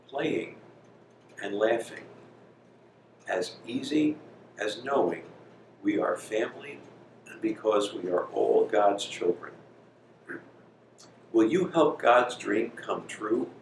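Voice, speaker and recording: A man reads a children's story as a priest would to his young parishioners. His pitch is on the low side and his pace is very slow, with gentle, deliberate phrasing. The recording is roomy and picked up at some distance, with background noise. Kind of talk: slow storybook reading with simple explanations for children.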